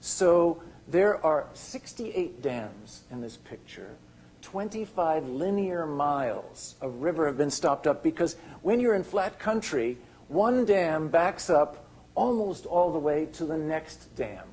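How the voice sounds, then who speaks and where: Halting older man giving a lecture. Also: a little high, big pitch swings, darkish tone, a little hiss or hum. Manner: halting, many restarts and fillers. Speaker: keynote speaker. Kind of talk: lecture with slides. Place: lecture hall.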